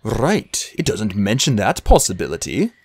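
A woman's voice: extremely low pitch, sing-song, a little slow, darkish tone, husky, no echo, full character voice. A woman voicing an earnest, bookish young male dragon from a storybook, thoughtful and a little unsure. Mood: studious. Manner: thoughtful, studious. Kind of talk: storybook character voice.

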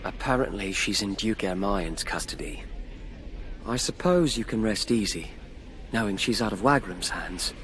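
Young lord in a game, formal n commanding, earnest, some noise behind. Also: male voice, fairly low pitch, expressive, moderate pace, fairly bright tone. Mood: grave. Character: earnest young noble lord, calm leader. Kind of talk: dramatic video game cutscene acting.

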